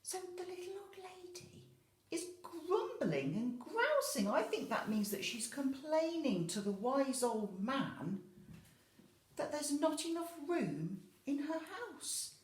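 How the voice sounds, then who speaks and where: A woman's voice: high voice, very animated, takes it slowly. A woman infant school teacher telling a story to young children, explaining simply and speaking to them directly. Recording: roomy, echoey sound.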